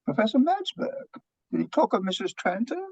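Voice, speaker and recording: An eccentric foreign psychiatrist for a stage comedy, pompous and dramatic. The voice is high and gravelly, leaping all over its range, with stilted, earnest phrasing. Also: male voice, sing-song, a little fast, no echo, exaggerated voice. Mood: grave, fervent. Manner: stilted grammar, theatrical, pompous. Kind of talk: comic stage play reading. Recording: dull lo-fi microphone sound.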